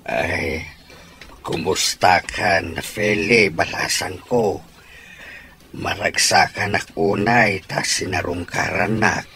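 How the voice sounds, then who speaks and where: A man with an Ilocano accent playing a gentle, grateful, ailing old father with a gravelly voice in a radio drama. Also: low-pitched, slow, slight background noise, exaggerated voice. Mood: weak, glad.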